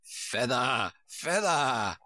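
A man's voice: mid-range voice, sing-song, extremely slow, pushed and bright, dry recording, heavily acted. A man voicing a cross, bossy old female sheep from a storybook, curt and scolding. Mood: bossy, barking. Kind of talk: storybook character voice.

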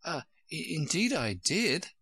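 A man's voice: on the high side, big pitch swings, unhurried, bright, forceful tone, breathy edge, dry recording, voice pushed up, heavily acted. A man voicing a polite, earnest and eager little girl from a storybook, easily puzzled. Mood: vexed, polite. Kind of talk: storybook character voice.

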